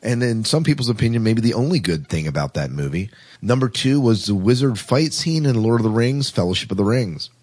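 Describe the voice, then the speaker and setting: A man's voice: mid-range voice, expressive, a little fast, a little mellow, husky. A man co-hosting a podcast in a casual, informal, conversational way. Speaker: podcast co-host.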